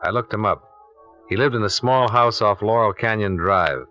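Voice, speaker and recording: Forceful low-voiced radio detective. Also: male voice, normal speed. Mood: dry narration. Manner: clipped, matter-of-fact questioning. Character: cool, businesslike male insurance investigator narrating his case. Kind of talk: 1950s radio detective drama acting. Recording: muffled old radio recording, music.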